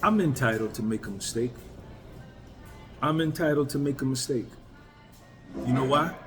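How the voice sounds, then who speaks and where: A man's voice: medium pitch, animated, moderate pace, music in the background. A man in his fifties, a YouTuber, talking candidly and bluntly to his viewers in a casual, emphatic way. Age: 50s. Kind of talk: casual talk to camera.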